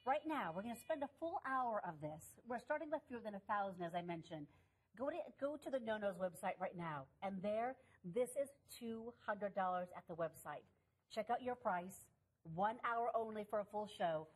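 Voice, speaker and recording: A woman's voice: medium pitch, animated, normal speed, husky. A woman hosting a shopping channel, talking in enthusiastic selling patter. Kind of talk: shopping channel sales pitch.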